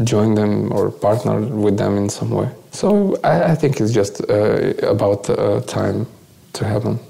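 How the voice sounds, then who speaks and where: Casting: male interview guest with a Bulgarian accent, mellow, gravelly tone, earnest and explanatory. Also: fairly low pitch, a little slow. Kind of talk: conversational interview answers.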